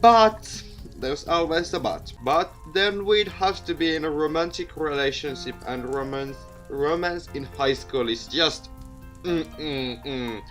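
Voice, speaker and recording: A game streamer with a mid-pitched voice reading a story game aloud expressively, with asides and the odd stumble over words. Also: a little slow, a bit bright, soft background music. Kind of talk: let's play narration with reactions and asides.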